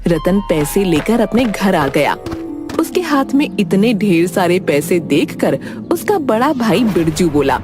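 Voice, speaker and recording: A woman's voice: medium pitch, sing-song, unhurried. A woman reading scripted narration for a moral tale. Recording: music under the voice.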